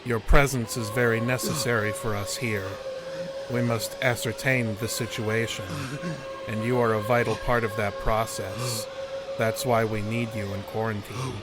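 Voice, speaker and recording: A man playing a cold, implacable alien interrogator in an audio drama, speaking in a measured, clinical, detached way. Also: on the low side, flat delivery, loud music behind the voice, natural voice. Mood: calm, ominous.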